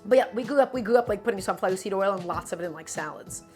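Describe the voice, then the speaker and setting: Cooking streamer, a woman whose first language is Russian, talking at a mid pitch. Casual and instructive, she keeps calling the viewers "my friends." Soft music plays in her home kitchen. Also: lively intonation, rapid-fire. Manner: chatty, instructive, often says "my friends". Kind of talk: live cooking demonstration.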